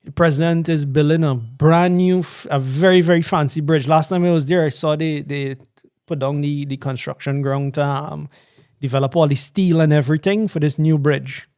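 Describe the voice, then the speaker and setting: A man's voice: on the high side, steady tone, normal speed, a little mellow, clear, resonant tone, no echo, clean studio sound. A man from Guyana with a Guyanese accent, a guest talking earnestly and proudly in a casual podcast chat. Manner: proud, earnest, laughs often. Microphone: studio podcast mic.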